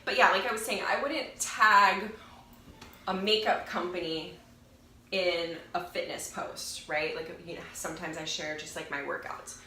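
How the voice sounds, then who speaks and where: A woman's voice: fairly low pitch, fairly even intonation, measured pace, bright, forceful tone. A young woman with a nasal, congested voice from a cold chats casually to camera as a fitness vlogger, rambling and correcting herself. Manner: chatty, rambling, self-correcting. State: getting over a cold. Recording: roomy echo, faint noise.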